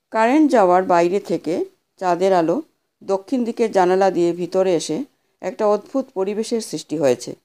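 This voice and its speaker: A woman reads a scripted ghost story in a soft, dark-toned voice. She sits at a middling pitch and delivers the tale in a measured, dramatic way, as an audio storyteller would. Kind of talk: scripted horror story narration.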